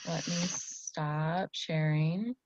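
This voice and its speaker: A woman's voice: deep voice, fairly even intonation, drawn-out words, a little mellow, lo-fi sound, a little hiss or hum. A woman teacher leading an online lesson, reading to pupils and talking with them over a video call.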